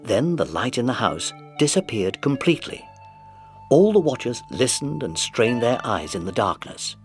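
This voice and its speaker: A man's voice: medium pitch, very animated, normal speed, soft background music. A man narrating a children's mystery story in a scripted, dramatized audiobook.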